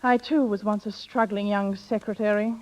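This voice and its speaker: An actress playing a scheming, playful older sister in brisk, knowing 1930s radio drama style. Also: female voice, mid-range voice, fairly even intonation, lightly acted, low-quality recording. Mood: coaxing, scheming. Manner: brisk, knowing, theatrical, coaxing. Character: bossy, scheming, playful older sister coaching younger one. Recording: vintage 1930s radio broadcast.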